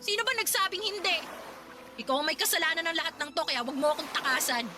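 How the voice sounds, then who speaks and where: A woman voicing a blunt, sharp-tongued pregnant young woman in a radio drama, over background music. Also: very high-pitched, expressive, fast, very noisy. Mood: scolding. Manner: sharp, scolding. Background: music bed.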